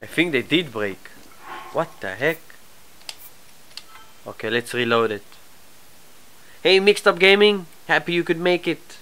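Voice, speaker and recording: A man with a cold and a stuffy nose, chatting casually through a live game stream, his asides often trailing off quietly. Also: on the high side, measured pace, long pauses. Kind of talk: live gameplay commentary.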